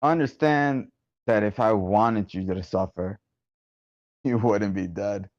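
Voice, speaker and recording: Man reading a cold killer, low and muffled. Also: a little slow, darkish tone, lightly acted. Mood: calm menace. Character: vicious cold 53-year-old businessman killer, menacing and casual. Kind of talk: screenplay table read. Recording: muffled online meeting audio.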